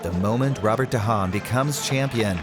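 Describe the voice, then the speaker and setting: Brief: male motorsport narrator, steady scripted read, music bed underneath. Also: fairly low pitch, moderate pace. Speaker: highlights narrator.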